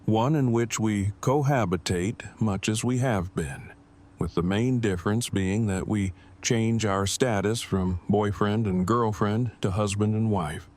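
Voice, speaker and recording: A man acts out an earnest, devoted boyfriend in a post-apocalyptic audio drama. His voice is on the low side, and he talks in verbose, formal, carefully stilted sentences. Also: measured pace, a little mellow, natural voice. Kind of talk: fan audio drama acting.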